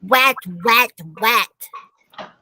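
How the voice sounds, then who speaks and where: A woman's voice: fairly high pitch, extremely slow, sharp tone, pitched above the performer's own voice, heavily acted. A woman voicing a silly baby brother in a story, babbling words with R's turned to W's. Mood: silly, taunting. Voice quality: childish speech, R pronounced as W.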